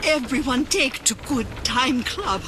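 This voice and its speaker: Game role: pleading immigrant wife, Chinese accent, high-pitched, mournful broken phrasing over background noise. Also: female voice, lively intonation, measured pace. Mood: grieving, pleading. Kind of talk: dramatic video game acting.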